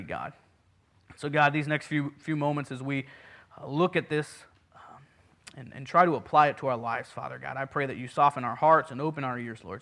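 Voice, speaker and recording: A man's voice: medium pitch, a bit bright. A man in his thirties who grew up in Puerto Rico, preaching a sermon with earnest, self-deprecating asides and frequent restarts. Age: 30s. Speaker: pastor.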